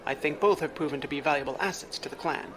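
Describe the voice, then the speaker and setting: A man playing a formal, analytical aide in a science fiction audio drama, speaking in exact, measured phrases. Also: on the high side, fairly bright tone, lightly acted. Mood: calm, analytical. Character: formal, precise, analytical male aide to a clan leader. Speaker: adviser to the clan leader.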